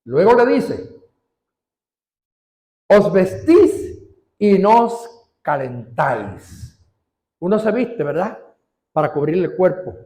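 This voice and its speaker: A man preaching a sermon as pastor, stretching out key words and rising in pitch for emphasis. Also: big pitch swings, slow, pauses often, warm tone, reverberant. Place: church.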